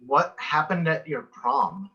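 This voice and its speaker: Guy playing a curious office coworker, bright voice, bit slow, dull call audio, sitcom read. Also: fairly high pitch, animated, full character voice.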